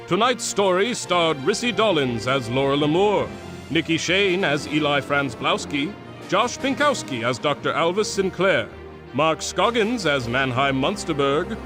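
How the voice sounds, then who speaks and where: A man reading scripted closing credits as a radio-style announcer, with theatrical delivery. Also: on the high side. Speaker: series co-creator and closing announcer. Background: music.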